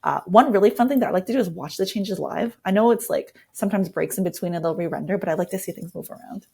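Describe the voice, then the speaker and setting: A woman's voice: lowish voice, quick pace, gravelly, warm tone, slightly rough. A woman speaks as a guest designer demonstrating her workflow. She is casual and informal, thinking aloud while she explains each step of what she is doing. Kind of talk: casual screen-share walkthrough.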